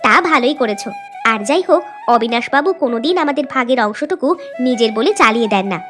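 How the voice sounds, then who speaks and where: High-pitched village wife, acted by a woman. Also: animated, fast, a bit bright, soft background music. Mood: inquisitive, homely. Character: practical, enterprising farmer's wife in a village. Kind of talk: Bengali cartoon fairy-tale dialogue.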